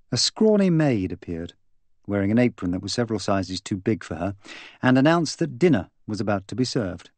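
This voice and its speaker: A man narrates a mystery novel in a fairly low voice. His reading is measured and expressive, in a polished literary style. The sound is close and very dry, with no room on it. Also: dry recording. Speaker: audiobook narrator.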